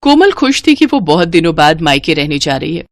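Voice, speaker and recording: A woman narrating a family cartoon story in a steady, matter-of-fact way. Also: low voice, brisk, no room sound, studio quality.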